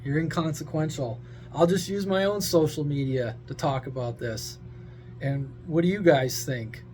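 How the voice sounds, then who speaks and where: A man's voice: a little high, normal speed, a little mellow, slight background noise. A man giving a casual, opinionated rant to his viewers, blunt, sarcastic, crude and exasperated. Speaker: social media creator and CB radio hobbyist.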